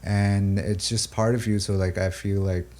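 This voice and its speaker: Man, low flat voice, gravelly, reflective musician, halting with fillers, native urdu speaker. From Pakistan and the UAE. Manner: thoughtful, halting, many fillers and restarts. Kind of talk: reflective interview talk.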